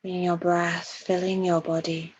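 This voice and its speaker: A woman leading a guided meditation in a soft, breathy voice, soothing and hushed, with long pauses between phrases. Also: lowish voice, flat delivery, lo-fi sound.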